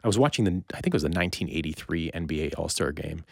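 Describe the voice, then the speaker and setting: A man's voice: rumbling low, expressive, racing, vocal fry, darkish tone, no room sound, clean studio sound. A middle-aged man with a North American accent hosting a podcast in a casual, conversational interview style. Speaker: podcast host.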